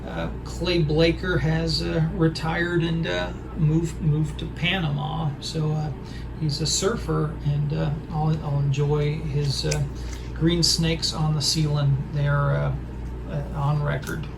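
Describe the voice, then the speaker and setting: Hoarse man, raspy and gravelly, halting delivery, noisy recording. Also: fairly high pitch, level tone. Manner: halting, hesitant, full of pauses. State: hoarse from a throat injury. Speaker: record collector vlogger. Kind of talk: casual record haul show-and-tell.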